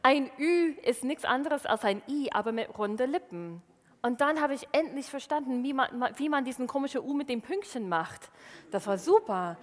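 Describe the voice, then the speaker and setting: A woman in her thirties from Wisconsin, a native English speaker with an American accent, giving a lively, self-mocking stage talk in a large hall. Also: high-pitched, moderate pace. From Wisconsin, USA. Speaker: science slam speaker. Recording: reverberant hall.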